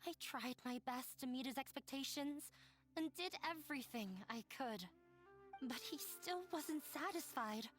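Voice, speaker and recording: A woman's voice: sits high, unhurried, soft background music. Hinaya, a weary young woman. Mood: resigned. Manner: quiet, resigned, soft-spoken. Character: troubled young woman, weary, self-blaming. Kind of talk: video game voice acting.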